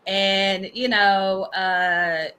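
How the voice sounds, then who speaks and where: Woman in her 40s co-hosting, bright high voice, slow easy pace, chatty. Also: little pitch movement, clean tone. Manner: warm, chatty, laughs often. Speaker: co-host. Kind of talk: casual chat.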